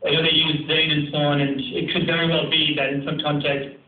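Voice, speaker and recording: A man's voice: on the high side, flat delivery, fairly quick. A man giving a keynote talk over a video call, then answering questions with many fillers. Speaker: keynote speaker. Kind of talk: remote presentation and Q&A. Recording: tinny distant call audio in an echoey room.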